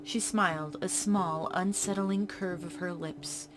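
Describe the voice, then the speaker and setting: A woman playing a horror visual novel, reading its text in a hush and breaking off into casual reactions. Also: fairly low pitch, fairly even intonation, unhurried, over music, low-quality recording. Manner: hushed reading broken by chatty reactions. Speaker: game streamer. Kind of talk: reading a game's text aloud with casual commentary.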